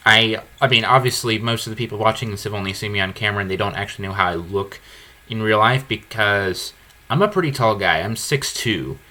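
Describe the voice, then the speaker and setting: A deep-voiced male podcast host speaking with a bright, forceful edge despite his low register. He reflects candidly and earnestly, often circling back to restart a phrase. The talk is unscripted and conversational. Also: brisk.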